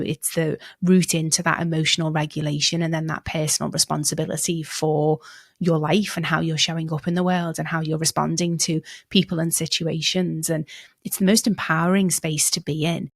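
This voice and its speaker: A woman's voice: low voice, creaky, dry recording, studio quality. A woman hosting a podcast, interviewing in an enthusiastic, affirming way and often saying "like" and "you know". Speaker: podcast host. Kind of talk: conversational interviewing.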